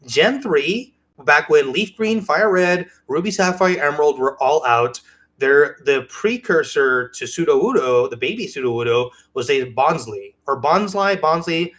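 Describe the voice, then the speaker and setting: A man's voice: on the high side, animated, measured pace, a bit bright. A man chatting casually as a podcast co-host, telling things in an enthusiastic, rambling way. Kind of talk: casual chat.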